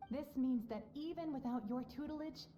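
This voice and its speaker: A woman playing a serene, wise mentor in a video game, speaking in a measured, solemn and gentle way. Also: high voice, slight background noise, lightly acted. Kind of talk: video game character acting. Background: music.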